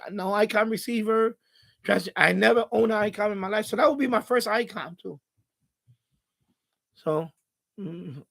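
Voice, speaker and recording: A middle-aged man hosting a casual live stream, rambling and thinking aloud in a halting way. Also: high voice, creaky.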